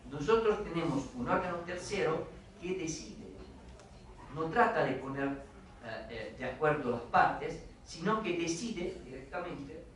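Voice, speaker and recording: A man with a foreign accent gives an academic lecture, pausing often with fillers and restarting phrases. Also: fairly high pitch, fairly bright tone, a little hiss or hum. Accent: foreign accent in Spanish. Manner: hesitant, many fillers and restarts. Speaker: guest lecturer. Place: lecture hall. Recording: echoey hall, mic from a distance.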